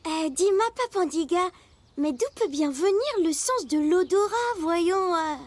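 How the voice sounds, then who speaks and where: Tiny girl cub for cartoon: curious, excitable, extremely high, drawn-out pace. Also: no room sound. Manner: excitable, childlike. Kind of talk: French children's cartoon dub.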